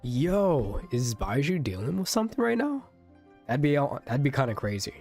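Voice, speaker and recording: Young man, mid-pitched voice, slangy rambling game stream chat, faint music behind. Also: very animated, normal speed, darkish tone. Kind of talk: casual livestream commentary.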